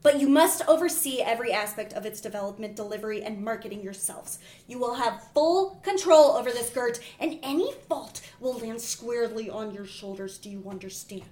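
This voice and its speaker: A woman's voice: fairly high pitch, brisk, few pauses, a little mellow, roomy sound, close to an everyday voice. A woman playing an imperious, grandiose judge who speaks formally in a comedy script read. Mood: stern, magnanimous. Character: imperious female judge, pompous but moved.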